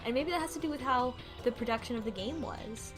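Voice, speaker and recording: A woman's voice: high voice, quick pace. A woman co-hosting a podcast, casually recapping a game and voicing characters' lines in passing. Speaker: podcast co-host. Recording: music playing behind the talk.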